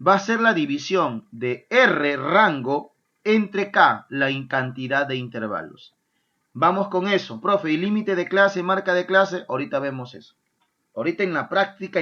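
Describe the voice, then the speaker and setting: A male math teacher gives a lively class. He keeps checking in with his students. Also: fairly high pitch, muffled recording. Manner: lively, asks "¿ya?" and "¿correcto?" often, answers his own imagined student questions. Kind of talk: online math lesson.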